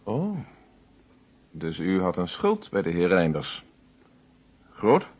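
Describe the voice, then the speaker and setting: An actor playing a methodical police inspector in a radio drama, questioning formally and with authority in a husky voice, on an old recording. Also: male voice, low voice, huge pitch swings, takes it slowly, a little mellow, highs cut off, exaggerated voice. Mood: probing.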